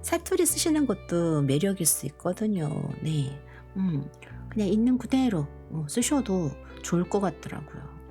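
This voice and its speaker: A middle-aged woman from Korea who grew up partly in Busan, chatting slowly and gently to viewers with many pauses and fillers. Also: medium pitch, big pitch swings. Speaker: live stream host. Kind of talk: casual live chat to viewers. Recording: soft background music.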